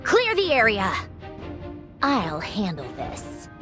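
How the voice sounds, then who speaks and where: A woman playing a haughty, sharp-tongued young villainess in a video game, imperious and dismissive. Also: mid-range voice, wildly swooping pitch, slow, music in the background. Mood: irritated, commanding.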